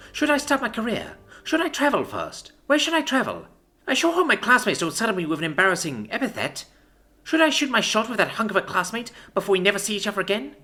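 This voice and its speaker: A man's voice: high-pitched, fairly bright tone, pitched above the performer's own voice, heavily acted. A man putting on a furious young woman commander. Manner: sneering, seething and profane.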